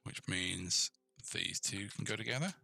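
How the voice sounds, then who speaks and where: A man's voice: sits low, measured pace, vocal fry, sharp tone, soft background music. A man giving an informal screencast coding tutorial, thinking aloud and checking in with the viewer as he goes. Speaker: programming tutor.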